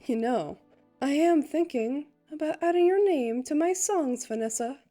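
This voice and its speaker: A woman's voice: high-pitched, expressive, a little slow, mellow. A woman voicing a playful, poetic young male bard in a comic dub, with a lilting, theatrical delivery over music. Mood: charming. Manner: lilting, theatrical, speaks in rhymes. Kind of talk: dramatized fan comic dub.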